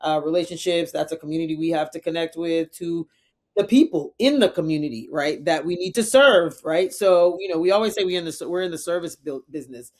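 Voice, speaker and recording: A woman's voice: on the low side, fairly quick, a little mellow. Middle-aged woman, interview guest, conversational, emphatic, from Liberia and New York. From Liberia and the United States, raised in New York City. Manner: emphatic, often checks in with "right?" and "you know". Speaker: podcast guest, community executive.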